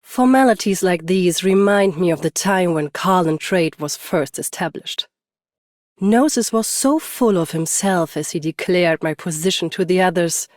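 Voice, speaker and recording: A woman's voice: lowish voice, a little slow, dry recording, studio quality, lightly acted. A woman playing a stoic, battle-hardened champion swordswoman and bodyguard in a video game, restrained and commanding. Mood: calm, dry. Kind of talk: scripted game character voice lines.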